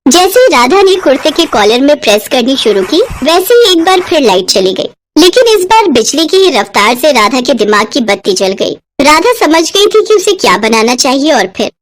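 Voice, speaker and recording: High-pitched, animated woman storyteller. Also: moderate pace, few pauses. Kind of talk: scripted narration of a moral bedtime story.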